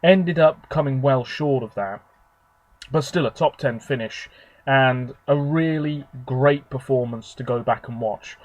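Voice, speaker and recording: A man hosting his own video, talking casually and at length about his favourite entries, a little hoarse and husky from a sore throat. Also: medium pitch, unhurried, lo-fi sound. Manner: rambling, chatty, opinionated. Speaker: video host. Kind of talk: casual solo commentary.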